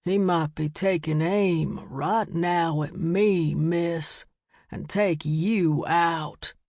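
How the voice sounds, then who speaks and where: A woman voicing a stubborn, worried small-town man in an audiobook, speaking gravely and deliberately. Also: lowish voice, darkish tone, no echo, clean studio sound, exaggerated voice. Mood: ominous.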